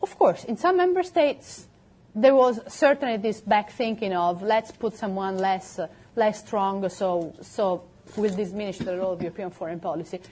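A woman's voice: mid-range voice, normal speed, a little mellow, breathy edge. A woman panelist answering audience questions in an explanatory way. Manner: explanatory, with restarts.

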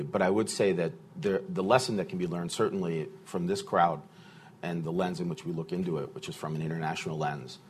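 A man speaking as a panelist in a discussion, answering questions in a measured, thoughtful way with fillers and restarts. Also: low-pitched, darkish tone, a little hiss or hum.

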